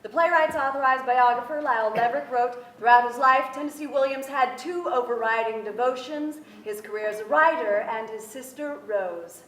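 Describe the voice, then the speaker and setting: A woman's voice: high voice, normal speed, hardly any pauses. A young adult woman giving a dramatic reading from a script on a theatre stage. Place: theatre stage before an audience. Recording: roomy theatre, faint background noise.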